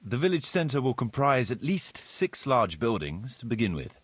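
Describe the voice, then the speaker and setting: An actor playing a formal, courtly medieval land surveyor in a video game, speaking precisely and deferentially. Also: male voice, medium pitch, very animated, normal speed, breathy edge, dry recording. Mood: formal, assured. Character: formal, courtly medieval locator Marius, eager planner and surveyor. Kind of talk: video game cutscene acting.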